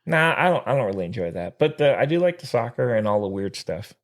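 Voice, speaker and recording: A man's voice: medium pitch, very animated, fast. A man co-hosting a podcast in casual chat, rambling and trailing off, laughing often. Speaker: podcast co-host.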